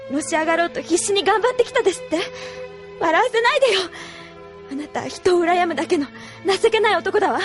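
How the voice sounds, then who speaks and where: An earnest young woman fighter from an anime radio drama, high and bright, pressing hard with impassioned reproach, music running behind her. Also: animated, a little fast. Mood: fierce. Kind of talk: 1990s anime audio drama acting.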